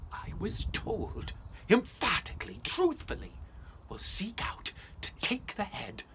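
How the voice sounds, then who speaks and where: Man performing a lean superstitious schoolmaster, theatrical storyteller, old-fashioned speech, slightly high, very lively pitch. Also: noisy background. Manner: theatrical, swooping, archaic phrasing with shrieks and whispers. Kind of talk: theatrical first-person storytelling monologue.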